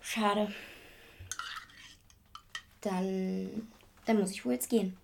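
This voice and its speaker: A woman playing a curious, gently persistent young neighbour in a naturalistic radio drama, coaxing in short phrases. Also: mid-range voice, little pitch movement, very slow, creaky, exaggerated voice. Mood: gentle, wistful.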